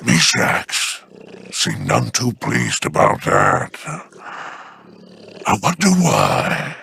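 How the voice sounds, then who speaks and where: An actor playing a sly, smug alien crime lord in a video game, with a gravelly, drawling, theatrical delivery. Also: male voice, very deep voice, huge pitch swings, drawn-out words, pushed and bright, slight background noise, exaggerated voice. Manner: wheedling and theatrical.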